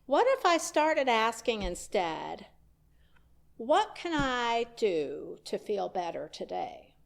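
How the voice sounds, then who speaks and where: A woman minister giving a reflective sermon in a church, speaking in a slow, measured way. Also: fairly high pitch, sing-song, vocal fry. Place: church sanctuary.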